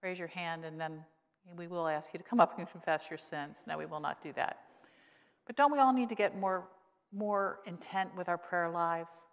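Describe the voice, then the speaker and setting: A woman in her sixties, a pastor, preaches a conversational sermon with wry humor from a church pulpit microphone. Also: normal speed. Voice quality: voice grown lower since illness, she says. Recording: long reverb of a church sanctuary.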